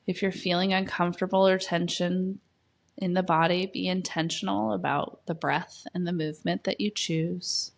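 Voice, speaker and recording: A woman leading a guided meditation, giving gentle, soft instructions with long pauses between phrases. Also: lowish voice, steady tone, creaky.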